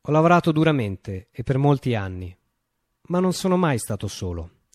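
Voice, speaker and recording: A man's voice: mid-range voice, sing-song, moderate pace, a little mellow, no echo, studio quality, natural voice. Man playing a declamatory, swooping revolutionary visionary. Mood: solemn farewell. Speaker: actor performing a radio play. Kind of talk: theatrical radio monologue.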